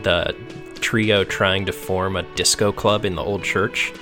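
A man's voice: deep voice, flat delivery, unhurried. A man hosting a podcast, talking casually about video games with music in the background. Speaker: podcast host. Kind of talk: casual video game discussion.

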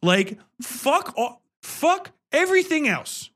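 A man hosting a podcast in a casual, animated, sweary ranting style full of comic exaggeration. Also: extremely high pitch, slow. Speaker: podcast host. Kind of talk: casual, profane comic rant and game review.

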